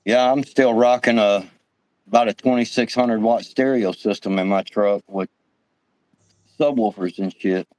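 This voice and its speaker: A man's voice: fairly low pitch, steady tone, measured pace, darkish tone, low-quality recording. Easygoing man in his late fifties. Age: 50s. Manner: easygoing, swears casually. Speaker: livestream co-host. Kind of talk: casual livestream chat.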